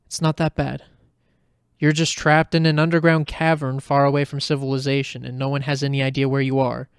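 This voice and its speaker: A man's voice: medium pitch, little pitch movement, brisk, clear, resonant tone, no room sound, clean studio sound, natural voice. A man voicing an anxious but determined, sarcastic teenage girl adventurer who talks to herself in an audio fan fiction. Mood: nervous, self-soothing. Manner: wry, talking to self.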